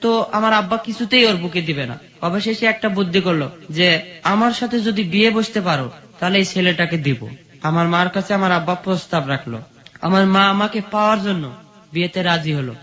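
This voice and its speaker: A man's voice: high voice, brisk, bright, forceful tone. A man with a Bangladeshi accent telling his own life story as an interview guest. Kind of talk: personal life-story narration. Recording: dull lo-fi sound.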